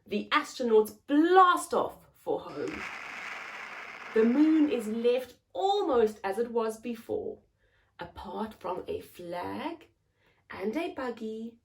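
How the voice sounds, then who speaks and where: Slow, high-pitched woman reading to young children. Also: a little hiss or hum, poor audio. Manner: expressive, simple phrasing with questions to young listeners. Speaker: children's story time host and reader. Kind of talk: read-aloud storytelling for young children.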